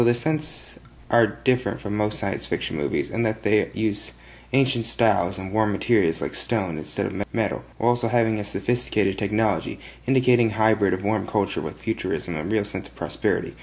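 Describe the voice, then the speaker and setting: Young man, monotone film review, low-ish voice, webcam in small bedroom. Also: fairly quick. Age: young adult. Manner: flat monotone delivery. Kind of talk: read-out film review monologue. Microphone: webcam at desk.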